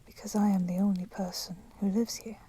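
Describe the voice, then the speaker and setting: A woman playing a polite but menacing vampire in a whispered ASMR roleplay, speaking softly and formally. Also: medium pitch, little pitch movement, slight background noise, close to an everyday voice. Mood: earnest, reproachful. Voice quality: breathy, soft, often whispering. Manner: slow, deliberate, formally courteous. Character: polite, menacing female vampire, proud and merciful.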